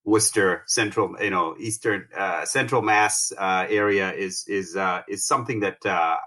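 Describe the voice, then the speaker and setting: A middle-aged man from Tanzania, a company founder, talking through his career in a relaxed interview with plenty of fillers and restarts. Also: deep voice. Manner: halting, many fillers and restarts. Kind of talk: conversational interview.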